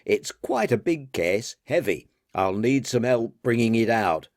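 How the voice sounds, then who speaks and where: A man's voice: medium pitch, lively intonation, a little slow, dry recording, clean studio sound. A man voicing a blustering, rambling old man who is playing host in an audiobook. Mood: rambling, dazed. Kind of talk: audiobook character dialogue.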